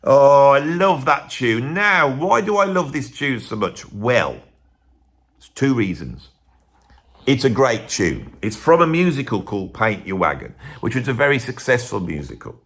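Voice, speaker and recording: Animated, rambling man. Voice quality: lively, swooping pitch. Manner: chatty and digressive, with a voice raised above his natural one. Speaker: music video host. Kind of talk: rambling casual monologue.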